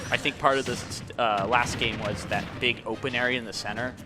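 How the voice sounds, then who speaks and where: Halting male co-commentator over game music. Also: mid-range voice, fairly even intonation. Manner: hesitant, halting phrasing, often agreeing with partner. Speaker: esports match co-commentator. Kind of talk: casual live gaming commentary.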